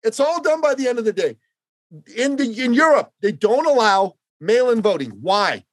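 A man's voice: very high voice, expressive, normal speed, dry recording. A man from Canada hosting a political podcast, speaking with passion and repeating phrases for emphasis. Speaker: podcast host. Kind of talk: impassioned political podcast talk.

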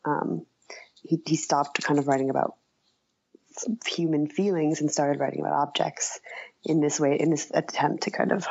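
A woman giving thoughtful, halting, conversational answers as an interview guest, with a dull lo-fi recording. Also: low-pitched, little pitch movement, creaky, darkish tone, breathy edge. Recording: dull, lo-fi, dry audio.

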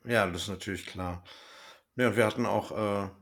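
A man with a low-pitched voice hosts a podcast. He talks in a casual, conversational interview style. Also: fairly even intonation, normal speed, a bit bright. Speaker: podcast host.

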